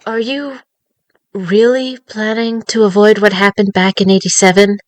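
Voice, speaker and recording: Female actor as a guarded, grieving young woman; quiet, dry recording. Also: medium pitch, fairly even intonation, moderate pace, dry recording, close to an everyday voice. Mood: wary.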